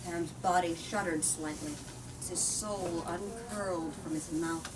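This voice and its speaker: Female storyteller and book-talk host, lowish voice, expressive and deliberate, recorded from a distance in a noisy room. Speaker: host of a book reading, reader. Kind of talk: dramatic storybook read-aloud with discussion. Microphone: far from the speaker.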